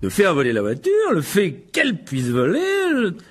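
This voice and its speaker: Man acting a befuddled, excitable wizard dad, very animated. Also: on the high side, fairly quick, vocal fry, breathy edge, full character voice. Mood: guilty, flustered. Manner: stammering, hesitant, backtracking. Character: befuddled, sheepish middle-aged wizard father, excitable enthusiast.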